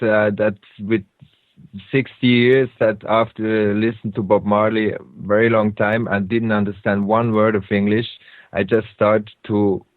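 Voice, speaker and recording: A lower-voiced man with a Swiss German accent hosts a radio show, speaking English as a second language in a loose, unscripted monologue. He stops often to search for words and slips on grammar as he goes. His voice comes through a dry, tinny, phone-like line. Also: no echo. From Switzerland. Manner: halting, with frequent hesitations and non-native grammar.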